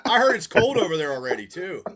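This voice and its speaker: A man's voice: high voice, very animated, quick pace, crowd noise. A man co-hosting a podcast over a video call, cracking jokes and teasing in casual chat. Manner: jokey, teasing. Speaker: podcast co-host.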